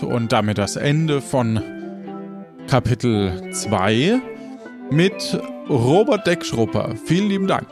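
A man's voice: medium pitch, expressive, slow, over music. A man hosting and narrating an improvised audio drama podcast in a casual way.

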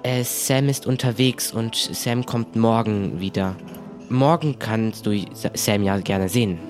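A man's voice: fairly low pitch, a little slow, faint music behind, natural voice. A man playing an eager, polite pirate crewman. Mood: obliging. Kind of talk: improvised audio play acting.

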